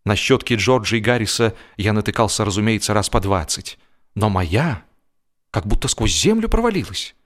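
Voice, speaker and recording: A man narrating humorous literature expressively, with a wry, self-important theatrical delivery and dramatic pauses. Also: on the low side, big pitch swings. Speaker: audiobook narrator and actor.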